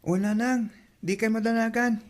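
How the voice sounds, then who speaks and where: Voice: mid-range voice, steady tone, moderate pace, lightly acted. A performer with an Ilocano accent acting a respectful, responsible teenage elder brother in an audio drama.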